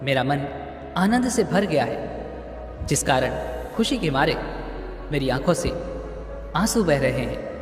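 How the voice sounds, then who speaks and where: A gentle old teacher speaks calmly and reassuringly, voiced by a man telling a story. His pitch is a little raised and lively. The sound carries a long reverb with music underneath. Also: unhurried, long pauses. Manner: calm, reassuring.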